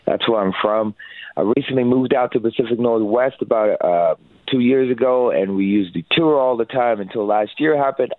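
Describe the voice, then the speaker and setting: Musician guest, man in his 30s from Hawaii, earnest and upbeat, lower voice, dry tinny phone line. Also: no echo. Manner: earnest, upbeat, lots of fillers. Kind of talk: casual interview.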